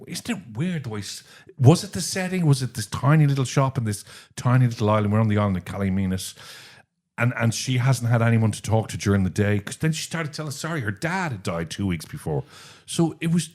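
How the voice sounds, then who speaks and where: A man with an Irish accent co-hosting a podcast, telling stories in an animated, chatty way. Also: medium pitch. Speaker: podcast co-host. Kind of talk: casual podcast chat.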